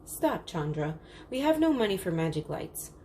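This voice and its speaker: A woman's voice: lowish voice, big pitch swings, moderate pace, warm tone. A skeptical, firm village father from a children's tale, voiced by a woman narrator. He questions sternly, with only light characterisation. Slight background noise is present. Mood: stern, cross.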